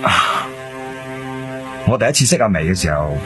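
Slick businessman suspect, low-pitched, lively intonation, cool and evasive, Hong Kong Cantonese accent, radio drama. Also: music in the background. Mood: guarded, matter-of-fact. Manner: cool, evasive, measured, peppered with English words. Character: guarded, slick businessman suspect, middle-aged, coolly cooperative.

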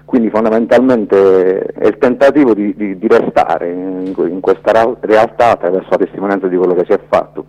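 A man's voice: low voice, a little fast, hardly any pauses, dark tone. A man giving spontaneous, reflective radio interview answers as a filmmaker, often hesitating and searching for words, on dull lo-fi audio. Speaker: documentary filmmaker, interview guest.

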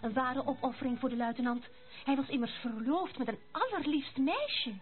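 A woman's voice: high voice, expressive, normal speed. An actress playing a prim young society lady who gossips in a dismissive, catty way in a radio play. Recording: tinny, narrow old radio play recording.

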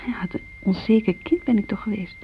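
An actress playing an insecure grown younger sister, confiding uneasily in a radio play monologue on an old recording. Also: female voice, medium pitch, brisk, whispered, close to an everyday voice. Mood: anxious, confiding. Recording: muffled radio-play recording with faint hum.

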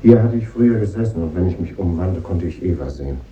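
An actor playing a brooding, embittered composer in a 1950s German radio drama, with a dark, husky voice and terse delivery. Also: male voice, sits low, expressive, fast talker, slight background noise. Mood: melancholy. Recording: muffled old radio recording.

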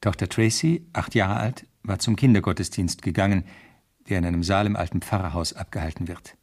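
An earnest young constable narrating a 1970s radio crime play. His voice sits fairly low and has a husky, unclear edge. He sounds like a serious newcomer. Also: fairly quick, a little mellow. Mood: reflective narration. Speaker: actor, narrating protagonist.

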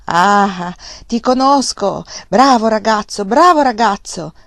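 A woman putting on a shrill, scheming old uncle. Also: lively intonation, slow pace, fairly bright tone, dry recording, clean studio sound. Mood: fawning. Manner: shrill and trembling when angry, fawning when begging. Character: wheedling greedy old village uncle, man.

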